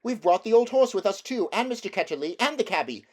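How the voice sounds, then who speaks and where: A spirited, bossy young girl from a storybook, voiced by a man. The pitch is lifted high, with brisk, exclaiming phrases. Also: expressive, a little mellow. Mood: astonished. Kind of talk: storybook character reading.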